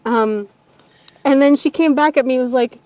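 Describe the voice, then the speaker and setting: A woman's voice: high-pitched, normal speed, dark tone. A woman audiobook narrator with a nasal voice talks loosely in a live panel chat. She swears casually and acts out the back-and-forth of past conversations. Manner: chatty, swears casually, acts out quoted exchanges.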